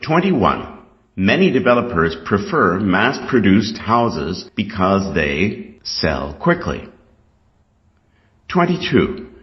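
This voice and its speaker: A Canadian man reading scripted test material aloud in a deliberate, instructional way. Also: low voice, big pitch swings. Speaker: reader of an English exam reading passage. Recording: dull, lo-fi sound.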